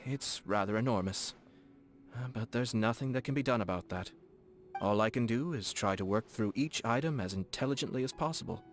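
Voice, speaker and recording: An actor playing a courteous, composed young nobleman in a video game, speaking formally and softly with a gently teasing manner. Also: medium pitch, natural voice. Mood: composed, reassuring. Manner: formal, measured, politely playful. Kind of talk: video game character dialogue. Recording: studio voice over game music. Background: game background music.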